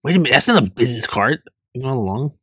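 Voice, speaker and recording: A man's voice: mid-range voice, expressive, very fast, pushed and bright, husky, no room sound, clean studio sound, pitched above the performer's own voice. A man voicing an arrogant, boastful demon hungry for power in a webcomic read-aloud. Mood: alarmed. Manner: boastful, blustering.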